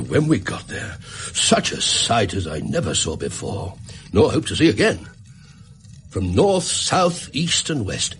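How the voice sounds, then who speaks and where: A flustered, gullible male tradesman for a radio play. His pitch leaps all over as he rambles through his tale with small hesitations. A faint noise sits behind the voice. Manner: rambling, hesitant storytelling with stammers. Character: flustered, talkative, gullible red-haired widowed tradesman telling his story. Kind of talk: radio drama acting.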